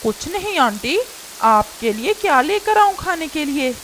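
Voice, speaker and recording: A woman's voice: high-pitched, big pitch swings, unhurried, fairly bright tone, slight background noise, heavily acted. A woman voicing a hapless, henpecked male crow in a Hindi cartoon, with a whiny, ingratiating manner. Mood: eager to please. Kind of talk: Hindi cartoon character acting.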